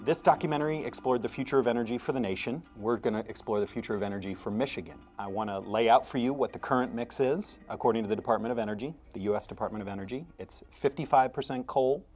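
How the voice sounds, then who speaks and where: A man's voice: medium pitch, darkish tone. An American man in his thirties moderating a panel discussion in a studio. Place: TV studio at a desk.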